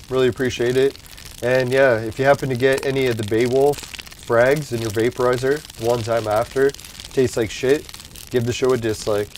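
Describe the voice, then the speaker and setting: A man's voice: medium pitch, a little mellow, a little hiss or hum, poor audio. A man hosting a live stream, chatting casually with viewers in a rambling, laid-back way, laughing often and losing his voice. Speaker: livestream host.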